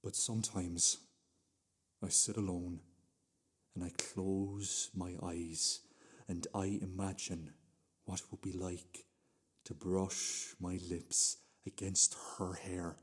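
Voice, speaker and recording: An actor as an unsettlingly intense, lovesick man in a radio drama. His very low voice moves slowly in halting, intimate phrases, brooding over each one. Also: level tone, slightly rough, heavily acted. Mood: intense longing. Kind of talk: radio play monologue.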